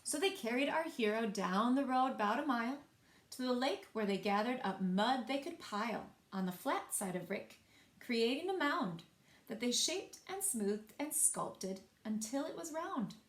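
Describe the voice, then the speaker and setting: A woman's voice: on the high side, lively intonation, measured pace. A woman reading a children's picture book aloud as a storyteller, expressively and with asides to young listeners. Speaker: library storyteller. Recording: roomy, echoing sound.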